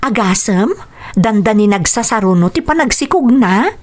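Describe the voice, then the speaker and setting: An actress with an Ilocano accent playing a woman of a family in an expressive, emotive radio drama style. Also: mid-range voice, normal speed, lightly acted. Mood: bright, chatty. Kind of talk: Filipino radio drama acting.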